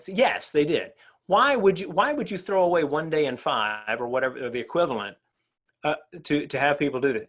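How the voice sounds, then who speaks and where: Brief: middle-aged man, workshop presenter, bright high voice with big swings in pitch, chatty and lively. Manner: animated and conversational, asks the audience "right?". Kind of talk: energetic professional development talk.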